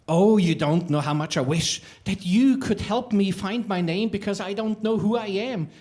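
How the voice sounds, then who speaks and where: A man's voice: moderate pace, a little mellow, lightly acted. A man voicing a small nameless creature that is lost and keeps asking who it is. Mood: pleading. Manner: pleading, questioning, high and sing-song. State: sobbing at times.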